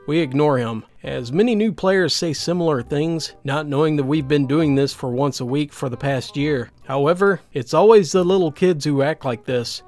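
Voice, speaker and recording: A man narrating online stories in a lively, animated reading. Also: fairly high pitch. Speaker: storyteller.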